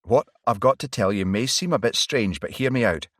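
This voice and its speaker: Narrator reading a feverish male cop, urgent, fairly loud, dry close sound. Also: mid-range voice, expressive, a little fast, dry recording, voice pushed up. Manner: urgent, earnest. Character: intense, feverish off-duty male detective.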